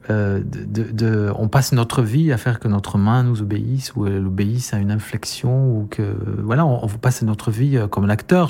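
Gravelly, husky man musing slowly. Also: on the low side, darkish tone. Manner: halting, many restarts and fillers, reflective. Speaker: pianist, guest. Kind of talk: conversational radio talk.

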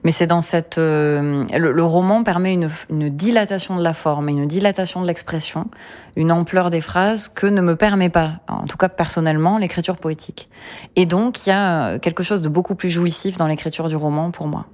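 A woman writer, a poet and novelist, answering questions thoughtfully and with precision, pausing on fillers. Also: fairly low pitch, steady tone, darkish tone. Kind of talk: conversational interview answer.

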